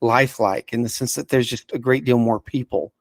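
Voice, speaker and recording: A man with a mid-pitched voice talks casually as a guest giving recommendations. He is keen but rambles, pausing often to hunt for the right word. The sound is close and dry, with no room echo. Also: fairly even intonation. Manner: halting and rambling, searching for words. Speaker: podcast guest reviewing audio dramas. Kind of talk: casual unscripted chat, recommendations.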